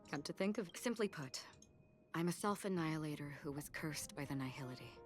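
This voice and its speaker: A woman voicing a calm, solemn wandering swordswoman in an anime game, composed and wistful. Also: deep voice, moderate pace. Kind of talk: anime game dialogue, dramatic. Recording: game music behind.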